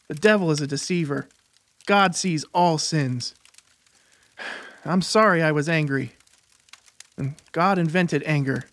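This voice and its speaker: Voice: medium pitch, no echo, natural voice. An actor playing a devout, earnest teenage boy who preaches emphatically in a dramatized audiobook.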